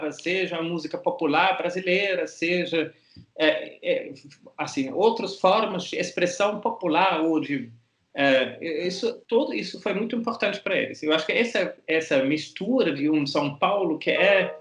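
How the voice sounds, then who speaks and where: A man with a fairly high voice speaks as a museum curator, answering questions in an echoey room. He is reflective and hesitant, restarting phrases as he searches for words. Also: a bit bright. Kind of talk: interview answers. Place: art museum gallery.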